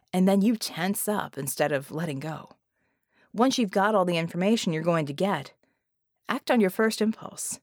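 A woman's voice: medium pitch, darkish tone, no echo, studio quality, lightly acted. A woman voicing a confident, roguish male genie in an audiobook, drawling as he coaches.

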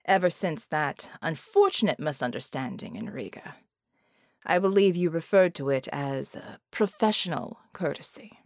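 A woman narrator puts on a sly male impostor for an audiobook. He is smooth, familiar and casually teasing. The voice sits a little low, with very varied pitch and a dry sound. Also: lively intonation, moderate pace, no room sound. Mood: measured, wary.

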